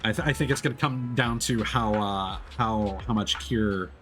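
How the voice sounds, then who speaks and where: Low-voiced man chatting on stream over music. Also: normal speed, a bit bright. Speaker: live streamer. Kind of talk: casual stream chat. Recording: music playing behind the voice.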